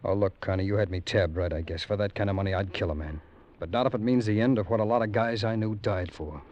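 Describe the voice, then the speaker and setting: A man's voice: lowish voice, fast talker, a little mellow, slight background noise, natural voice. An actor playing a cynical, world-weary tough guy with a conscience in a laconic 1940s radio drama style. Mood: bitter, resolute. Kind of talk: 1940s hard-boiled radio drama acting. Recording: dull old radio recording, music behind.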